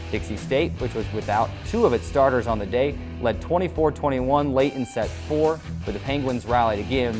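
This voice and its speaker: A man hosting a sports show, delivering recaps and interview questions as a play-by-play announcer. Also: medium pitch.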